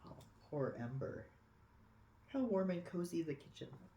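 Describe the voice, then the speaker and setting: A storyteller reading a picture book aloud expressively for children, with dramatic pauses and cooing asides. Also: mid-range voice, lively intonation, gravelly, dark tone. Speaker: storybook reader. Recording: echoey room.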